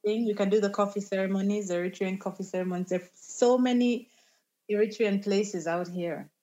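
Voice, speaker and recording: A woman's voice: medium pitch, steady tone, moderate pace, dark tone, muffled recording. A woman guest in a casual podcast interview, talking animatedly and laughing easily.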